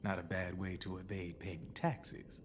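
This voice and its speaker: Low-voiced cynical gun launderer. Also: male voice, little pitch movement, unhurried. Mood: explanatory. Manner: folksy, slangy, knowing. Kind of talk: video game cutscene exposition. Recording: noisy game audio captured on stream.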